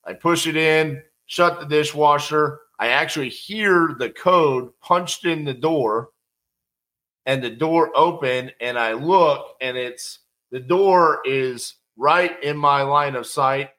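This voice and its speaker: A middle-aged man tells a personal story as a casual monologue. His voice is bright and forward, and he keeps it conversational and animated. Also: on the high side, takes it slowly. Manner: conversational, animated, acts out quotes. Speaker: podcast host telling a personal story.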